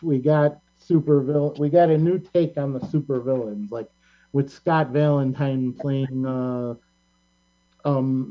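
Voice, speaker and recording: A man hosting a casual pop culture discussion, talking in a halting, rambling, opinionated way. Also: medium pitch. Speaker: talk show host. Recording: band-limited, dull lo-fi sound.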